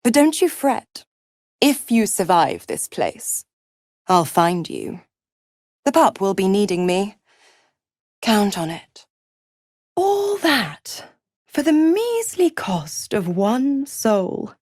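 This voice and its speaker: A seductive, scheming female devil from a video game. She takes her time, savoring each phrase with mocking, silky condescension, and her pitch swoops theatrically. The sound is close and dry. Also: wildly swooping pitch, slow pace, pauses often, a little mellow, dry recording, exaggerated voice. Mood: commanding, theatrical. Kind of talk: video game character acting.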